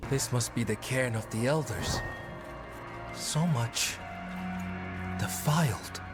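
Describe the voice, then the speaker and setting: Earnest young druid, slow-paced , played by a man. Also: mid-range voice, natural voice. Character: earnest young male druid, studious and unsure. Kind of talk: video game dialogue acting.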